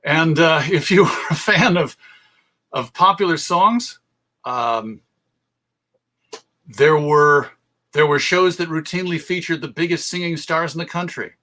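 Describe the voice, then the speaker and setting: A man's voice: on the high side, measured pace, pauses often, bright, forceful tone. A man presenting an informal history talk as host, enthusiastic and emphatic as he lists examples. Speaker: presenter, radio show host.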